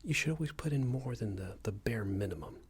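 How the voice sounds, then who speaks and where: A man playing a smarmy, passive-aggressive company boss in a soft-spoken ASMR roleplay, hesitant and condescending, often half-whispered. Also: medium pitch, lively intonation, fairly quick. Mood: awkward, passive-aggressive. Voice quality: breathy, half-whispered, creaky. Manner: hesitant, hedging, condescending, rambling corporate small talk.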